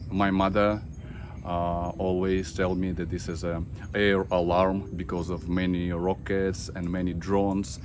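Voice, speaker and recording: A man in his thirties with a Russian accent, talking to camera about his family, halting with many fillers and restarts. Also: low-pitched, noisy background. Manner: halting, many fillers and restarts, simple non-native grammar. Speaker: vlogger. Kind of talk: personal talk to camera.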